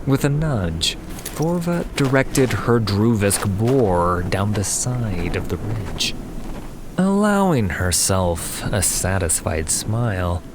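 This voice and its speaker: A man narrating a fantasy audiobook in a dramatic, measured and solemn scripted style. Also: lowish voice, background noise. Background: music.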